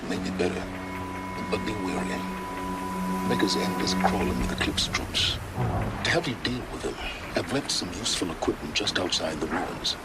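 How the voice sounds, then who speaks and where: Very low-voiced mysterious game informant, measured, formal, cryptic, loud music behind. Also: male voice, lively intonation. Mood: cool, cryptic. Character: enigmatic, knowing male informant, coolly manipulative. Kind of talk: video game dramatic acting.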